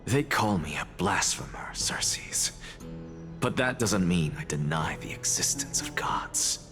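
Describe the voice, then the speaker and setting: A defiant, ambitious scholar with a lowish voice. He takes his time over every phrase, articulate and grandly arrogant, in dramatic game style. Loud music plays behind him. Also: expressive, slow. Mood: smug, defiant. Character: proud, defiant young scholar, blasphemous and ambitious. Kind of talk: video game story acting.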